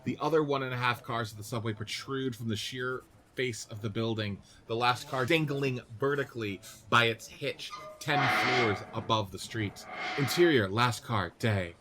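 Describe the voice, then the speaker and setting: A man's voice: mid-range voice, normal speed, noisy background. A man reading scripted narration and stage directions for a radio play.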